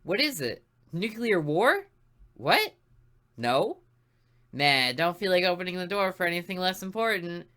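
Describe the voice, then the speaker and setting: A woman voicing a lazy, grumpy male dorm manager who answers gruffly and dismissively. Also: on the low side, animated, measured pace, long pauses, fairly bright tone. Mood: grumbling.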